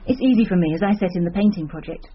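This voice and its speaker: A woman's voice: fairly low pitch, animated, racing, slightly rough, highs cut off. An actress playing a shrewd, teasing woman art teacher in a radio drama, with a British accent and a wry, playful manner. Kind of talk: naturalistic radio drama acting.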